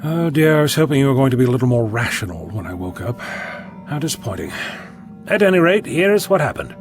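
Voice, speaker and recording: A man's voice: mid-range voice, very animated, a little fast, soft background music. A man playing a flippant, articulate, unflappable eccentric time traveller in an audio drama. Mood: wry, flippant.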